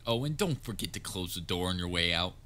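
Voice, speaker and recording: Man acting a deep-voiced, pompous supervillain. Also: lively intonation, a little fast, heavy background noise. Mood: irritated, dismissive. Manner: pompous, gloating, dryly sarcastic. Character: theatrical male supervillain, pompous yet secretly concerned nemesis. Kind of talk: scripted audio roleplay, comedic villain monologue.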